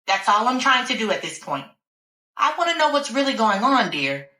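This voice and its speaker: A worried female doctor who coaxes and pleads, calling everyone honey and dear, as a woman reads her part aloud. Her voice sits high and swoops around as she tries to talk someone down. The sound is bright and roomy. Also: sing-song, moderate pace. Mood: pleading. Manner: gentle, coaxing, calls the listener honey and dear.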